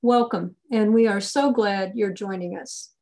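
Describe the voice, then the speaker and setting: A woman's voice: medium pitch, unhurried, warm tone. An older woman, president of a library group, reading a scripted welcome announcement over a video call.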